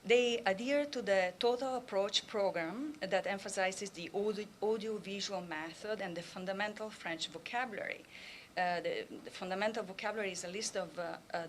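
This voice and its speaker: A woman presenting at a conference, speaking at an even middle pitch. She talks in measured phrases broken by frequent "uh" and "um" pauses. A faint background hiss sits under the voice. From Italy. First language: Italian. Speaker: Italian language instructor. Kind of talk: conference talk.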